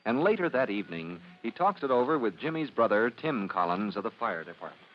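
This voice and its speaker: A man's voice: on the low side, very animated, brisk. A man playing an earnest storytelling announcer, narrating a scripted 1950s radio drama. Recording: muffled, noisy old radio recording.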